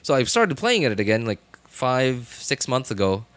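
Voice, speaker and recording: A man in his thirties chatting casually on a livestream, rambling and haltingly thinking aloud. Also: mid-range voice, very animated, fairly bright tone, clean tone, no room sound.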